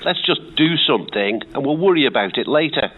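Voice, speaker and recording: A man calling a radio phone-in with a croaky voice, sounding indignant and sarcastic. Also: a little high, expressive, fairly quick. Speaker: caller. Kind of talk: phone-in call, reading out and criticising. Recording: tinny phone line.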